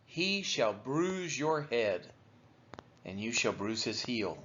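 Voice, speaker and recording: A man reading scripture aloud for a daily read-along, phrasing it deliberately in short units. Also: medium pitch, sing-song, fairly bright tone, highs cut off. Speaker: Bible reader for a church's daily read-along.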